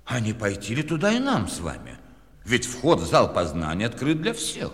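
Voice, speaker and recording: An actor playing a curious, courteous storyteller and guide through a fairy-tale city in a children's audio play. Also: male voice, mid-range voice, huge pitch swings, moderate pace, a bit bright. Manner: theatrical, inquisitive, courteous. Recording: long reverb, music behind.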